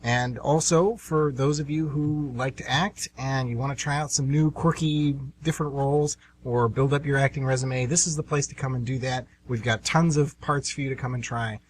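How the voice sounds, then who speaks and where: A man's voice: mid-range voice, fairly even intonation, moderate pace, hardly any pauses, slight background noise. A man co-hosting an amateur radio show with casual, conversational announcing.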